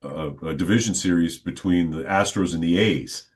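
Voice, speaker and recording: A middle-aged man, a stadium announcer, telling stories in a relaxed, good-humored interview. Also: low-pitched, normal speed, slightly rough. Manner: good-humored, reflective, talks at length. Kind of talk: casual storytelling in an interview.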